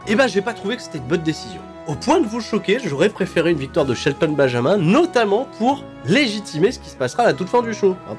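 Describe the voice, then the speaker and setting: A man hosting a wrestling recap, chatty and opinionated with sarcastic asides. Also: sits high. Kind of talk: casual wrestling show recap.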